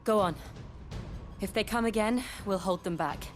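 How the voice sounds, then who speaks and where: Woman acting a steady mid-pitched female fighter. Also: normal speed, soft background music, lightly acted. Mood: resolute. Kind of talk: dramatic video game acting.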